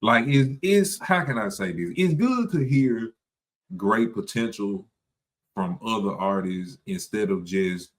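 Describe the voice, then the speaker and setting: A man's voice: fairly low pitch, lively intonation, measured pace. A young man co-hosting a casual music review podcast, laid-back and searching for his words. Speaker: podcast co-host.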